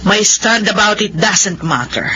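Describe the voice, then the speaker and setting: A woman's voice: mid-range voice, lively intonation, unhurried, pushed and bright, muffled recording, a little hiss or hum. An actress playing an anxious, tender, devout older mother figure with a Filipino accent, in an emotional, pleading radio drama style. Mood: worried, reproachful. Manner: emotional, pleading, swooping delivery. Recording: band-limited radio drama, music behind.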